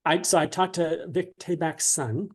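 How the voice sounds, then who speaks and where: A man answering interview questions casually, in a hesitant, halting and polite way. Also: on the high side, breathy edge. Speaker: interview guest, dramatist and author.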